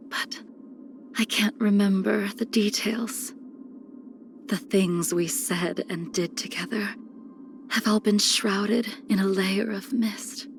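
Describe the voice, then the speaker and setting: An ageless, melancholy swordswoman from a video game. She speaks slowly and formally in a mid-pitched voice with a creaky edge. Her phrases are cold and solemn, often sinking into a breathy near-whisper. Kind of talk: scripted video game character lines.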